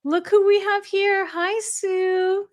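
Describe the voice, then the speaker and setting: A woman's voice: very high-pitched, flat delivery, takes it slowly. An American woman hosting a casual livestream, reading viewer comments aloud and laughing often as she chats. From United States. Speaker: livestream host.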